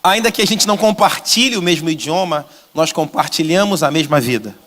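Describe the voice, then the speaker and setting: A man interpreting a sermon live in a church, rephrasing quickly and fluently. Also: on the high side, a bit bright. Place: church sanctuary. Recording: long echoing church reverb.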